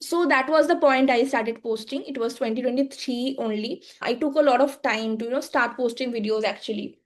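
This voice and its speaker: A woman, a guest on a podcast interview, telling her story reflectively with frequent fillers and halting restarts. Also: a little high. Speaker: guest, social media agency founder.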